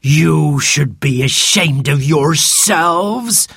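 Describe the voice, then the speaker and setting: A narrator voicing a cross housekeeper woman in a storybook, scolding sternly with drawn-out words. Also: medium pitch, creaky, a bit bright, husky, dry recording, studio quality, voice pushed up, full character voice.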